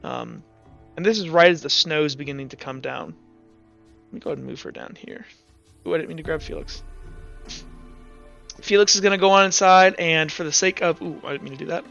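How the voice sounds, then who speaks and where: A man working as game master, improvising tabletop narration in an informal, halting way. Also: fairly high pitch, creaky, fairly bright tone, highs cut off, faint music behind. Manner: informal, halting, filler-heavy.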